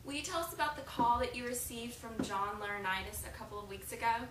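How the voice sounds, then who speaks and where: A woman's voice: a little high, fairly even intonation, fast, recorded from a distance. A woman asking formal interview questions, as if from a prepared list. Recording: very noisy, echoey, off mic.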